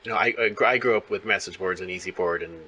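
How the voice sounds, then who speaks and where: Bright, low-pitched man chatting as interviewer. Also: fast talker, breathy edge, muffled recording. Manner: chatty and rambling. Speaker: interview host. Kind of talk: casual interview chat.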